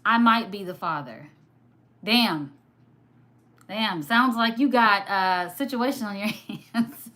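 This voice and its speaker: A woman from Sudan whose first language was Arabic, hosting a casual live stream, chatty and quick to laugh while reading comments. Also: medium pitch, fairly bright tone. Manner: chatty, laughs often, teasing. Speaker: livestream host.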